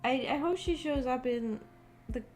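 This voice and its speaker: A woman's voice: high-pitched, normal speed. A woman livestreamer chatting casually with viewers in a giggly, rambling way, peppering her talk with "like". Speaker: livestreamer, visual novel book club host. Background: background music.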